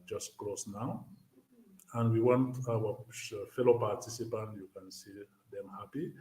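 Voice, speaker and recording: Low-voiced man hosting from a distance. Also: a little mellow. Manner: hesitant, many fillers. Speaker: host. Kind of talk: live interview. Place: outdoors near a bay. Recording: echoey, from far off.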